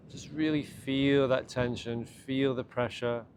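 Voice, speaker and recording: A man leads a guided morning meditation and self-massage in a gentle, unhurried, soothing way, speaking in short phrases. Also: mid-range voice. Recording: clear steady background ambient noise.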